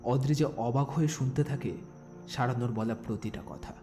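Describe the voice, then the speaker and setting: Audio story narrator: male, mellow, relaxed, mid-pitched, with a music bed. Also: normal speed.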